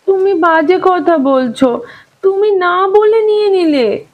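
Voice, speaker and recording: A woman's voice: very high voice, slow, pitched above the performer's own voice. A woman voicing a stubborn, indignant little girl who protests insistently in an audio story. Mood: angry, defiant. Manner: insistent, accusing, tearful protest.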